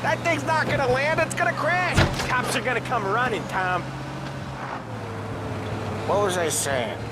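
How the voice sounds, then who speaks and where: An actor playing an excitable young mobster in a video game cutscene, with profane, rapid banter. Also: male voice, extremely high pitch, very noisy. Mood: wisecracking.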